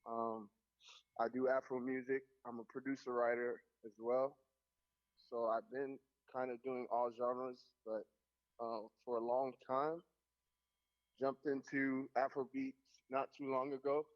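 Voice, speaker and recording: A young Caribbean man, a music producer, chatting casually as a radio interview guest, relaxed and rambling with lots of fillers. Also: mid-range voice, a little slow. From Caribbean, Jamaican and Haitian. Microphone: remote line, could not hear host. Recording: muffled lo-fi line.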